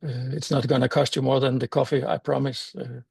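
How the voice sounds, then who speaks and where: An older man with a Danish accent, a native Danish speaker, giving a conference talk in a thoughtful, halting way with many fillers and restarts. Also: medium pitch, flat delivery, creaky, a little mellow, slightly rough, no echo, clean studio sound. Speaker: meetup speaker.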